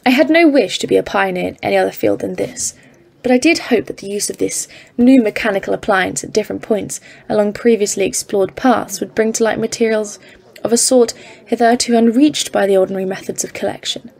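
An earnest expedition scientist, played by a woman, speaks with a creaky edge. Her delivery is measured and literary, like a formal account read out in an audio drama. Her pitch sits in the middle for a woman. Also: a little mellow. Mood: grave, reflective. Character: Evelyn, earnest woman geologist leading polar expedition. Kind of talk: audio drama narration and dialogue.

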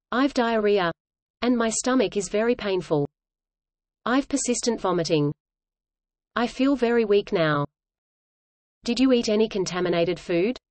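A woman reading an English lesson dialogue aloud in a measured, even way, repeating each line for learners. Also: medium pitch, no room sound.

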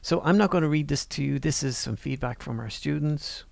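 A man giving a university course presentation over slides in a relaxed, conversational way, with fillers and restarts. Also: on the high side, brisk, creaky, no echo. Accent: non-Northern Irish.

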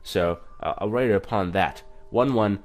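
A man's voice: fairly low pitch, normal speed. A man hosting a gaming video in a casual, chatty, self-deprecating way, reading text aloud with stumbles. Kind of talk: casual let's-play commentary with reading aloud.

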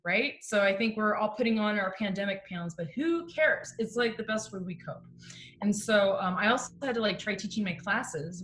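A woman in her thirties chatting casually while she cooks at home, talking on a video call with plenty of fillers and asides. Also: mid-range voice. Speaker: composer and guest. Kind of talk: casual chat while cooking. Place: home kitchen, indoors. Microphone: video call laptop or webcam. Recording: echoey kitchen, faint music.

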